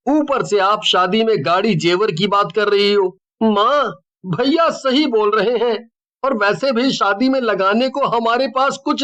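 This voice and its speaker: A selfish, complaining brother, acted by a man speaks in a strikingly high voice. He draws his words out slowly and whines, in dramatized storybook style. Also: pushed and bright. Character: selfish, grumbling brother in a family horror tale.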